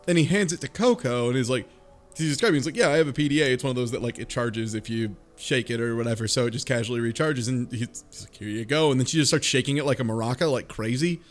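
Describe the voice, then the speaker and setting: A man's voice: mid-range voice, fast talker, soft background music. A man co-hosting a casual podcast in a jokey, informal way, acting out lines as he recaps a game story. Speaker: podcast host. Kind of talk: casual, joking discussion of a video game story.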